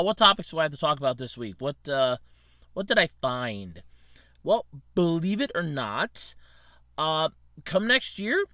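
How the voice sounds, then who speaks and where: A man's voice: high voice, sharp tone, no room sound. A man hosting a casual solo podcast, his throat scratchy from allergies, rambling and correcting himself with little laughs. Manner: rambling, self-correcting, chuckling. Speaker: podcast host.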